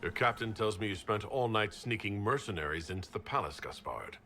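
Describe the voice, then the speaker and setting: An actor playing a resolute, commanding Inquisitor in a video game, speaking firmly and formally. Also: male voice, lowish voice, lively intonation, fairly quick, gravelly, bright, forceful tone, a little hiss or hum, full character voice. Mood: accusing. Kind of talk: video game cutscene acting.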